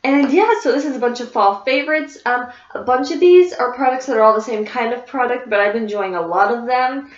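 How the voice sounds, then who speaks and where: A woman with a somewhat high voice, a little sniffly and congested, chats through her favorite products in an easy, rambling way, full of fillers and restarts. The room is echoey and the recording sounds dull and lo-fi. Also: brisk, hardly any pauses. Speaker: beauty vlogger. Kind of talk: casual product review chat.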